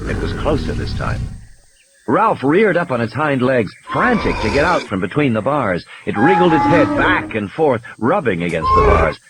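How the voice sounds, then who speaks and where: A man's voice: medium pitch, very noisy. A man reading scripted fiction as an audiobook narrator, in a dramatic storytelling style, with music and sound effects behind him.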